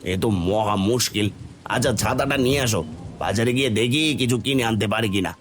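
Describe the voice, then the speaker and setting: A man's voice: mid-range voice, quick pace, soft background music, close to an everyday voice. A man playing a lazy, stingy village husband in a cartoon, grumbling and complaining. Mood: annoyed. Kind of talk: Bengali cartoon voice acting.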